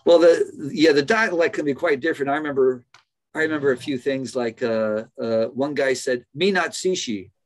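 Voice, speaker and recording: An American man in his fifties, a professor interviewed on a video call from a small office, telling casual anecdotes in a halting, often amused way. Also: medium pitch. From United States. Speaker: interview guest, biology professor. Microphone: computer microphone on a video call.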